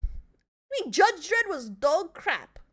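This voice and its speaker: A woman's voice: very high-pitched, very animated, takes it slowly, pushed and bright. An Australian woman chatting casually as a streamer, rambling and opinionated with plenty of swearing. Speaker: streamer reacting to a video. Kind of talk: casual live reaction chat.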